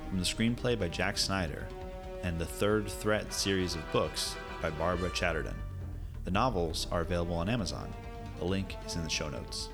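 A man working as an announcer, reading scripted production credits for an audio fiction podcast. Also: low voice. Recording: music bed behind voice.

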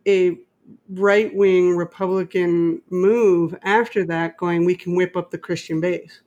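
A woman's voice: on the low side, fairly even intonation, takes it slowly, a little mellow, muffled recording. A middle-aged woman with an American accent, talking conversationally and emphatically, telling stories and giving opinions. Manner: emphatic, opinionated, quotes others in dialogue. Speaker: independent filmmaker and interviewee. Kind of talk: animated conversational storytelling.

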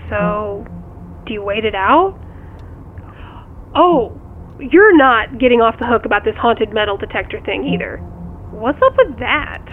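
Audio drama: sharp, wry sister played by a woman, highish voice, direct and probing, thin phone-line sound. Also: lively intonation, a little slow, pauses often. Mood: wry, puzzled. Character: sharp, teasing sister of the main character. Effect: phone call filter. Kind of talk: audio drama acting, conversational. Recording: tinny phone line, faint background noise.

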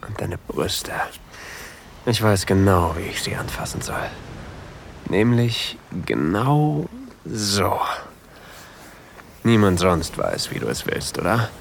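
Slow, low, seductive lover. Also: male voice, expressive, slight background noise, exaggerated voice. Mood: aroused, possessive. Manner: breathy murmuring, often dropping to a whisper. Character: possessive, seductive new lover, adult man, on a sailboat. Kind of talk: intimate erotic ASMR audio drama. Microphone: close microphone. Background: faint sea ambience.

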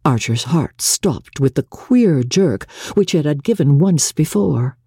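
A woman's voice: low-pitched, expressive, slow, dark tone, slightly rough, no echo, studio quality. A woman reading a classic novel aloud as scripted, dramatic audiobook narration.

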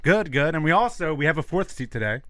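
A man's voice: a little high, lively intonation, fast, bright, forceful tone, no echo. A man hosting a casual sports talk show, with a stutter in his speech. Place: studio.

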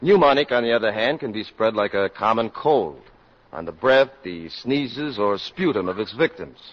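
Urgent American doctor, dull old radio. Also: male voice, fairly low pitch, animated. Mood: firm, explaining. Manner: brisk, authoritative, insistent. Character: urgent, commanding male public health doctor. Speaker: actor. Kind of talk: 1950s American radio drama acting. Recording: dull vintage 1950s radio recording.

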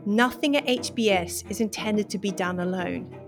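A woman's voice: mid-range voice, moderate pace, music in the background. A woman lecturer who reads a passage aloud, then answers interview questions in a thoughtful, hesitant way with many fillers and restarts. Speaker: university lecturer, interview guest.

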